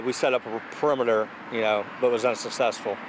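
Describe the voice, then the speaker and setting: A man with an American accent speaking haltingly as a sheriff's official in an unscripted news interview, often repeating himself. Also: medium pitch, fairly even intonation. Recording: clear outdoor background noise.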